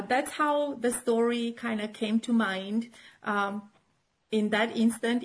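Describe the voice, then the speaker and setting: A middle-aged woman from Romania, chatting in an interview as a children's author and teacher, full of fillers and restarts. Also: a little high. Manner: chatty, many fillers and restarts, laughs often. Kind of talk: casual interview chat.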